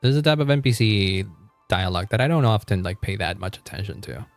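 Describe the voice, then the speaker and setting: Low-voiced man rambling through a game stream. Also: lively intonation, fast talker, no echo, soft background music. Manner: informal, rambling, mild swearing. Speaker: game livestreamer. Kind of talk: casual game-stream commentary, chatting with viewers.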